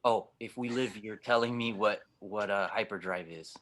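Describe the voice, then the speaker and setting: Man playing a low, gruff space pilot. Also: normal speed, a bit bright, lightly acted. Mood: grudging, tense. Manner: blunt, sardonic. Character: gruff, skeptical, world-weary male spaceship pilot. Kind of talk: audio drama table read.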